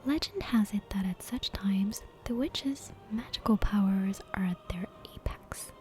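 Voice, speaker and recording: A woman whispering a bedtime story in a soft, breathy, gentle ASMR style, close to the microphone. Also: mid-range voice, measured pace. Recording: clear background music and ambience. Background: soft game soundtrack music, ambience.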